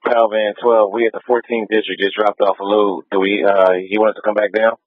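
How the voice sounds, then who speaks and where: A man's voice: fairly low pitch, level tone, brisk, dry recording. A man making terse calls on police two-way radio, heard over a scanner feed. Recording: tinny, band-limited police scanner feed.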